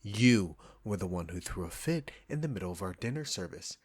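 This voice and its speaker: Deep-voiced, irritable male chef, acted by a man. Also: animated, brisk, husky. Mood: angry, defensive. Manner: condescending, combative, sarcastic, swearing. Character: proud, defensive, irritable male restaurant chef. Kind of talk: scripted audio roleplay addressed to the listener.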